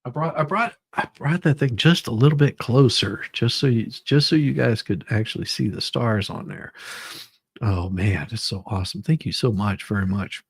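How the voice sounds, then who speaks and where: A man's voice: medium pitch, moderate pace, vocal fry, breathy edge, no room sound, clean studio sound. A man hosting a livestream with casual, rambling chat, often repeating his phrases. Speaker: livestream host.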